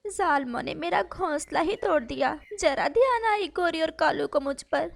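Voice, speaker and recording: A woman voicing a small, gentle female bird in a cartoon story, meek and plaintive. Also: sits high, lively intonation, normal speed, vocal fry, pitched above the performer's own voice. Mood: hurt.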